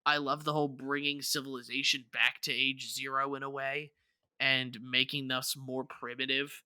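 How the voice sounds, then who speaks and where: A man's voice: medium pitch, flat delivery, normal speed, pushed and bright, clean tone, no echo. A man co-hosting a gaming podcast, chatting casually and circling back over his words as he talks. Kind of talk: casual chat about video games.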